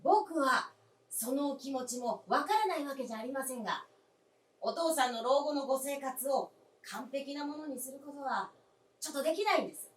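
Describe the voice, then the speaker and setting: A woman's voice: sits high, animated, normal speed, far from the mic. A woman voicing an earnest, persistent adult son in a radio drama, with polite, formal insistence. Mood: pleading, insistent. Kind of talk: live radio drama acting. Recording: roomy stage recording from afar.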